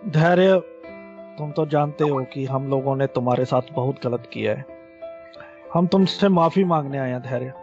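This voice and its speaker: An actor playing a stubborn, quick-tempered elder brother in a radio play, given to loud, forceful outbursts, in a muffled recording with music behind. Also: male voice, mid-range voice, lightly acted. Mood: pleading.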